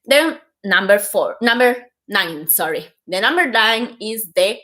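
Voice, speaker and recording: A woman's voice: mid-range voice, lively intonation, unhurried, bright, forceful tone. Costa Rican woman explaining informally. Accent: Costa Rican Spanish. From Costa Rica. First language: Spanish. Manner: explanatory, repeats and stresses key points, frequent "like". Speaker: host giving application advice. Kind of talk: informal explainer to camera on a livestream.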